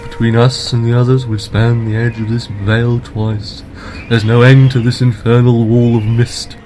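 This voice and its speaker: An actor playing an earnest, impatient human adventurer with formal, archaic phrasing in a fantasy audio drama. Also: male voice, medium pitch, level tone, unhurried, dark tone, a little hiss or hum, natural voice, poor audio. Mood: earnest, urgent. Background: music.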